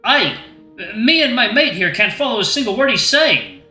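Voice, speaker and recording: A man putting on a high gruff panther student. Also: big pitch swings, normal speed, sharp tone, heavily acted. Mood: puzzled. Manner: rough folksy speech, keeps asking questions. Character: young male panther student, blunt and puzzled. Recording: echoey room, faint music.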